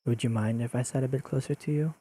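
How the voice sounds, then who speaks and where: A man playing a deadpan, pedantic but secretly fond young friend in an intimate audio roleplay, speaking in precise, dryly teasing sentences to the listener. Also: medium pitch, quick pace, slightly rough, close to an everyday voice. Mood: dry, soft teasing. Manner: deadpan, precise, formal wording with dry teasing.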